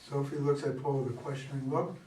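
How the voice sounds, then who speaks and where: A man's voice: mid-range voice, little pitch movement, quick pace, dark tone. Guy narrating stage directions for a play reading, roomy echo, bit of background noise. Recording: echoey room, distant mic, faint noise.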